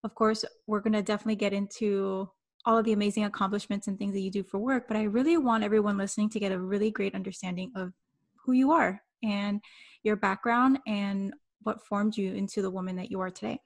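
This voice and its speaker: A woman's voice: medium pitch, fairly even intonation, fast talker. A woman from Miami hosting her podcast as a coach, greeting her guest with effusive enthusiasm. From Miami, Florida. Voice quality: effusive, warm praise for guest. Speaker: podcast host, mindset and business coach.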